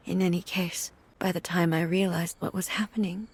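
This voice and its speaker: An actress playing a grieving, composed immortal warrior ruler in a video game, speaking in formal, measured, solemn lines. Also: female voice, fairly low pitch, steady tone, breathy edge. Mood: restrained grief. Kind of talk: scripted video game dialogue.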